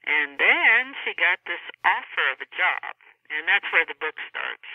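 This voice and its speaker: A woman author explaining her work in a conversational interview, pausing mid-sentence as she goes. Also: lowish voice, lively intonation, no room sound. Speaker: author being interviewed about a novel. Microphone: telephone. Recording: tinny narrowband phone line.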